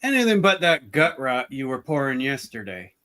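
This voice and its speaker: A man acts out a smug frontier deputy who likes to bully. His mid-pitched voice swings widely as he throws his weight around in an amateur radio drama. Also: big pitch swings, normal speed, bright, forceful tone. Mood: smug, menacing. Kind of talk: amateur radio play acting.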